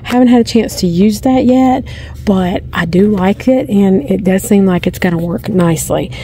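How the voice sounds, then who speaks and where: A middle-aged woman filming herself shopping in a large store, chatting casually and getting excited over finds as she goes. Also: medium pitch, normal speed. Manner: chatty, easily excited, thinking aloud. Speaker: shopping vlogger. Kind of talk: casual shop-with-me narration. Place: inside a large discount store. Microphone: handheld phone camera filming her hands.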